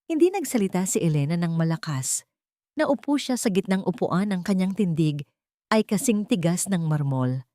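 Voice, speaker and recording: A Filipino woman tells a scripted story with measured drama. Her voice is soft-edged and warm in tone, and her pitch moves expressively across phrases. The sound is very dry, with no room around it. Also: very animated, dry recording.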